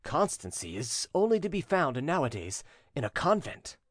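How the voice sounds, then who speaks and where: A man's voice: on the high side, sing-song, normal speed, a bit bright, no room sound. A man voicing a haughty, menacing prince in an audiobook, with an imperious, ironic and commanding manner. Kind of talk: audiobook character acting.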